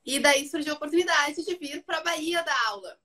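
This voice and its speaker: A woman's voice: high-pitched, brisk, pushed and bright. A woman with a Rio Grande do Sul Brazilian accent, speaking informally and playfully as a guest in a casual interview. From Rio Grande do Sul, southern Brazil. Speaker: guest, biomechanics researcher and teacher.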